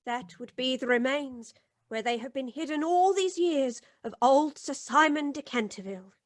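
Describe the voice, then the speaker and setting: A high-pitched, kindly housekeeper of some years. She reassures in a measured, theatrical way, in the manner of an old radio play. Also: lively intonation. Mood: reassuring, wondering. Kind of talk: old-time radio drama acting. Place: home recording booth on a video call.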